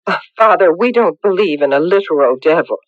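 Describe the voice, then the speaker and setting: Woman as an expressive, anxious village mother. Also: fairly low pitch, a little fast, no echo, lightly acted. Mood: shocked, anxious. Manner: expressive, flustered, genteel. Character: polite, anxious middle-aged village mother, easily shocked. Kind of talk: 1980s radio drama acting. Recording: dull lo-fi radio broadcast recording.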